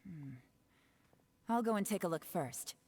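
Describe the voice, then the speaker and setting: Composed scout, lively pitch. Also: female voice, measured pace, a little hiss or hum. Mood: composed, decisive. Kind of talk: video game voice acting. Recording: music under the voice.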